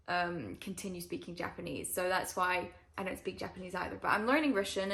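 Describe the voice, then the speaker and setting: A woman's voice: mid-range voice, fairly bright tone. A young woman from Switzerland with a British accent tinged northern, chatting casually to camera and answering viewer questions with lots of ums and likes. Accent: northern English-tinged British. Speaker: climber and video creator.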